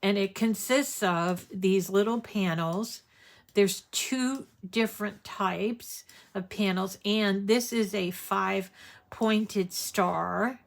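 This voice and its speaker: Stuffy-nosed craft lady, slow and rambly, cold coming on. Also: medium pitch, gravelly. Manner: chatty, rambling with fillers. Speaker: crafting show host. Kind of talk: casual craft tutorial.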